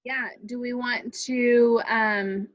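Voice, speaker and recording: A woman's voice: fairly high pitch, fairly even intonation, takes it slowly. A woman coordinating schedules in a casual meeting on a video call, thinking aloud hesitantly. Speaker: activities coordinator scheduling rehearsals. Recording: slightly dull video-call audio.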